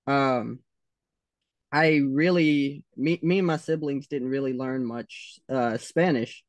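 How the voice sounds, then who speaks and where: A man with a Southern US accent, answering interview questions slowly, with many pauses and fillers. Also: mid-range voice, dry recording. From United States.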